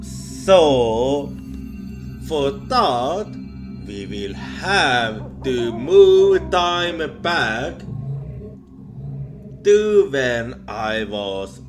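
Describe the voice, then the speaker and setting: A man telling ghost stories in a horror ASMR roleplay, speaking haltingly and hesitantly with long pauses. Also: medium pitch, very animated. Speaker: ASMR storyteller. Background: music.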